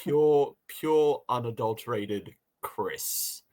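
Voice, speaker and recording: A man's voice: medium pitch, takes it slowly, warm tone, slight background noise, rough recording. A man co-hosting a casual review podcast, talking in a rambling way, repeating phrases and voicing his own thoughts.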